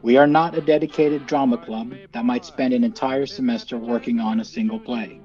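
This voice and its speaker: A man reading a scripted introduction to a program in a measured, articulate presenter's delivery. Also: medium pitch, steady tone, dark tone, muffled recording, soft background music. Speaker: team representative introducing the show.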